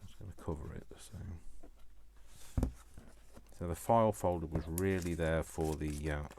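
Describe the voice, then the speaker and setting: A man with a very low-pitched voice hosts a casual craft tutorial. He thinks aloud, playfully muttering and repeating short phrases to himself. The recording has clear background noise with clicks. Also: measured pace.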